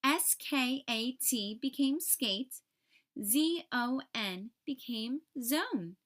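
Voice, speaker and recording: A woman who hosts story time, reading a picture book aloud for young children in a deliberate storytelling manner. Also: high voice, expressive, a bit bright. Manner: deliberate, clearly paced storytelling. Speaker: children's read-aloud host, teacher.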